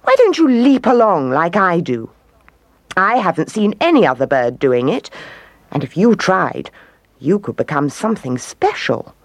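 Lady doing a posh-acting sly kangaroo queen for a kids story, snippy, coaxing, super animated. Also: lowish voice, a little slow, no room sound, pitched above the performer's own voice, exaggerated voice. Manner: scornful, coaxing. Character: haughty, crafty queen kangaroo mother.

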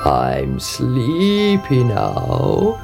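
A woman voicing an ugly, demanding male frog in a storybook, with a slow, insistent croak. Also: very deep voice, huge pitch swings, music in the background, voice pushed down, heavily acted. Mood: sleepy, demanding. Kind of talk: storybook character voice.

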